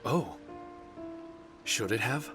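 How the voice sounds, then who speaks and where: Slow, gentle paper spirit. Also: male voice, mid-range voice, animated, over music. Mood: humbly grateful. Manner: halting, polite, thoughtful. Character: gentle, humble male paper spirit, earnest and wondering. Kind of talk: video game cutscene voice acting.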